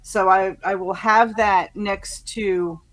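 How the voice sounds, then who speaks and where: American woman, mid-range voice, talkative author guest on a relaxed panel, laughs easily. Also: medium pitch, slow. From New York state, United States. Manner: chatty, laughs readily. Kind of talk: casual panel chat.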